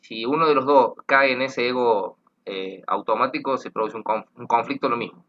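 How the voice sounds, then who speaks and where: A man's voice: mid-range voice, normal speed, highs cut off. An Argentine man, a tango teacher, explaining earnestly and rambling with repeated words. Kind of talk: explanatory talk.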